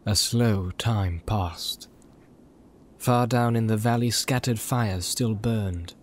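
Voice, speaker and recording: A man narrating a fantasy novel in a measured, solemn, dramatic reading style. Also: on the low side. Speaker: audiobook narrator.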